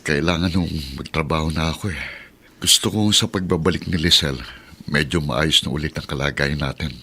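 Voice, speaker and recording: A man playing a protective Filipino father in a radio drama, with a raspy, emotional delivery. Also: extremely low pitch, fairly quick, sharp tone, exaggerated voice. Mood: reassuring, subdued. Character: weakened but protective Filipino father, easily roused.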